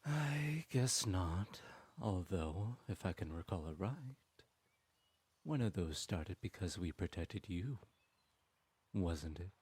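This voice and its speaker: A very deep, husky male voice, as a man acts it out, plays a cunning trickster god who charms and manipulates with slow, silky words. The sound is dry and close to the mic, with no room around it. He teases gently and never seems to hurry. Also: animated, dry recording, full character voice. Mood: scheming. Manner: silky, teasing, slow and persuasive. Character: sly smooth-talking male trickster god. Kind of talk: ASMR audio roleplay.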